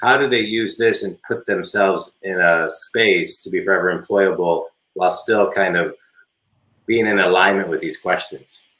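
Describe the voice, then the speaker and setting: Host, man in his forties, low, bright tone, eager questions, roomy echo. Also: normal speed. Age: 40s. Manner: eager, repeats "right?" and "so" often. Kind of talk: live business interview.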